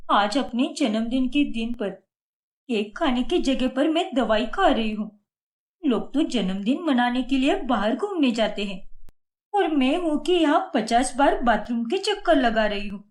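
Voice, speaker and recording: Woman playing a whiny, high-pitched young daughter-in-law. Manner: pleading, dramatic, self-pitying. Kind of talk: Hindi moral-story cartoon voice acting.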